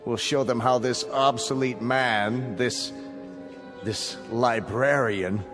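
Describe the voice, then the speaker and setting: An actor playing a cold, smug state chancellor in a radio drama, speaking in a formal, pompous, oratorical way. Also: male voice, medium pitch, steady tone, slow, close to an everyday voice. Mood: gloating, vindictive. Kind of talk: dramatic radio play acting. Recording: echoey reverberant hall, music underneath.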